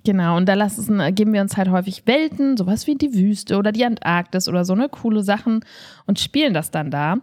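Eine Frau in ihren Dreißigern spricht als Co-Moderatorin eines Podcasts locker, offen und nachdrücklich. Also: female voice, mid-range voice, expressive, fairly quick, darkish tone, dry recording, clean studio sound. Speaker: podcast co-host, improv performer. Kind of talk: casual conversational podcast talk.

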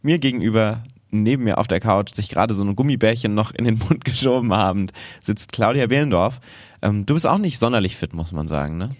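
A man's voice: lowish voice, animated, no echo. A man co-hosting an improv podcast, tired from too little sleep, talking casually with many ums and ahs. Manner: halting, lots of ums and ahs. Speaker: podcast co-host. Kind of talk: casual chat.

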